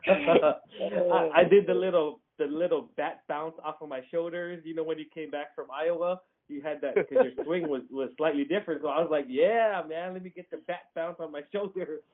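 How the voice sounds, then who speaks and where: A man's voice: fairly high pitch, steady tone, normal speed. A man hosting a casual phone interview, friendly and rambling, dropping "man" into his questions. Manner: friendly, rambling, chuckles, says "man" a lot. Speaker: interviewer, host. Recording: tinny phone line with noise behind.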